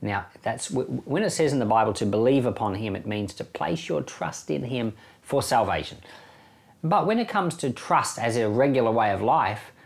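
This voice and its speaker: Man, middle-aged, Australian accent, average pitch, conversational pastor, informal Bible teaching, plain everyday wording. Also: very animated, moderate pace, creaky. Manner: conversational, plain-spoken, chuckles now and then. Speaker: pastor hosting a Bible podcast.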